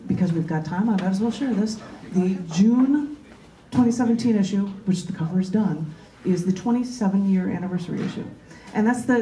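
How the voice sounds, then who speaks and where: A woman's voice: medium pitch, warm tone, a little hiss or hum. A woman poet at an open mic, reading her work and chatting casually. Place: large bookstore open mic, indoors. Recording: echoey room, distant microphone.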